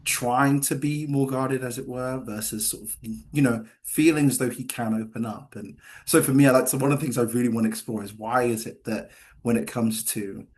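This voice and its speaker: A man speaking as a screenwriter in a Q&A, halting and hedging as he explains, often repeating himself. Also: medium pitch, fairly even intonation, darkish tone. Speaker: screenwriter answering questions. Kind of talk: post-reading Q&A discussion.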